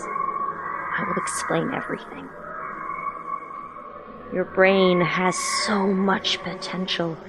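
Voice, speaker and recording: An actress playing a cryptic, controlling older woman in an audio drama, speaking in a measured, condescending, knowing way. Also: on the low side, background noise.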